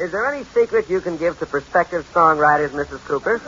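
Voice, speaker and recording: An actor playing a showy radio contest announcer in an old-time comedy, with hearty, formal showmanship. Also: male voice, on the high side, animated, quick pace, bright, forceful tone, slightly rough, heavily acted. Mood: jovial. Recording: muffled, noisy old radio recording.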